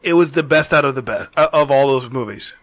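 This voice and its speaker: A man's voice: mid-range voice, a little fast, fairly bright tone, dry recording. A man co-hosting a podcast in casual, joking chat, telling stories in a loose way with some swearing. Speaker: podcast co-host. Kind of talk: casual chat about video game movies.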